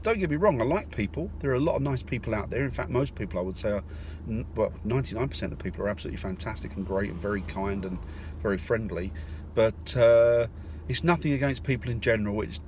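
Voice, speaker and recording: A man's voice: mid-range voice, expressive, quick pace, a little mellow. Rambling man vlogging from a car. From North London, England. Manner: rambling, hesitant, with many fillers and restarts. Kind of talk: casual vlog while driving. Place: in a car in the countryside. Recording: car noise in the background. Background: road and car noise.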